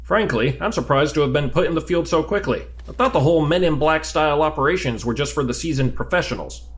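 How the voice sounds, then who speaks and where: Actor as animated, talkative rookie male agent. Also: fairly high pitch, fast talker, close to an everyday voice. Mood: curious, chatty. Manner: conversational, questioning, easily flustered. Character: talkative, curious, exasperated new male government agent. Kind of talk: audio drama dialogue.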